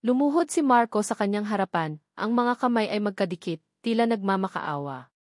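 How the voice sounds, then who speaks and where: A woman's voice: medium pitch, expressive, fairly quick, dry recording, clean studio sound. A woman with a Filipino accent narrating a scripted dramatic story.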